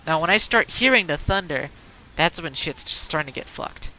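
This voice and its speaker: A woman's voice: low-pitched, animated, normal speed, pushed and bright, a little hiss or hum, poor audio. A woman streaming a game in casual, rambling chatter, slightly stuttering and congested from allergies. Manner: rambling, heavy on fillers and restarts.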